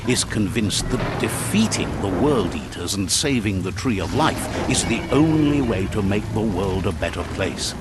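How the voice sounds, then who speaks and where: Low-voiced storyteller man narrating a game. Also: animated, heavy background noise. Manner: measured storytelling. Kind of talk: scripted narration. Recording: game audio over music. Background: faint game music.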